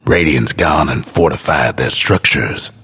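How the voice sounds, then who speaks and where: Very deep, husky frontier announcer. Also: male voice, a little slow, fairly bright tone, full character voice. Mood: wry, folksy. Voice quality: husky, gravelly. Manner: folksy, understated, dryly wry. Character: laconic, wry, folksy frontier narrator announcing battles. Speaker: game announcer. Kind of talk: scripted game announcer lines, drawling western narration.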